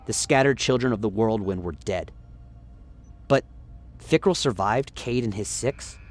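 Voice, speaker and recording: A man's voice: on the low side, unhurried. A man giving scripted, dramatic narration of a story as the narrator of a radio play.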